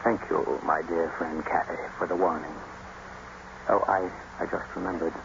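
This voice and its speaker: Brooding gentleman, low voice, bitter, sardonic, deliberate, noisy old radio drama recording. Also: steady tone, creaky, highs cut off. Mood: bitter sarcasm. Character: brooding, bitter, sardonic self-made gentleman, once stable boy. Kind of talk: 1940s-50s radio drama acting.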